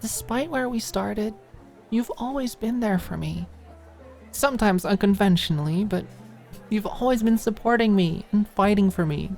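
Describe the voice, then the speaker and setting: A woman voicing a happy, affectionate young woman in an audiobook, with a playful, gentle way of talking. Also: mid-range voice, normal speed, faint music behind, pitched above the performer's own voice, close to an everyday voice.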